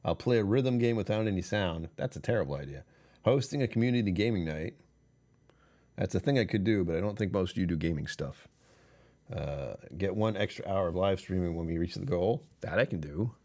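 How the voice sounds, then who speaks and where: Tired male streamer, very low voice, chuckling, rambling casual chat. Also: lively intonation, fairly quick, dark tone. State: tired, voice lower than usual. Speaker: livestream host.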